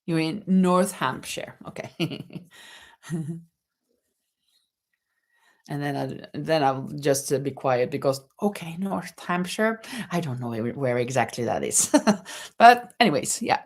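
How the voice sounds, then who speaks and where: A woman's voice: low voice, expressive, takes it slowly, long pauses. A middle-aged woman hosting a casual live crochet chat, laughing often and reading viewer comments aloud. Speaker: livestream host. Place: home in Spain.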